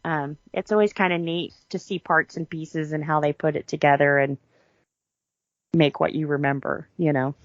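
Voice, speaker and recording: A dull, slightly lo-fi recording of a woman with a fairly low voice. She chats casually as a podcast co-host, telling things in an informal, chatty way. The sound is close and dry, with little room to it. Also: steady tone, normal speed, dry recording. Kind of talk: casual chat.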